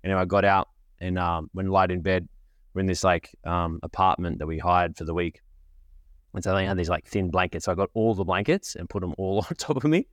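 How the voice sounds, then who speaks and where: A very deep-voiced man talks in a loose, rambling monologue as a podcast host. He has a cold and a slight cough, and his voice sits dry and close, with no room echo. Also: fairly even intonation, fairly quick. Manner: rambling, conversational. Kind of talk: casual monologue.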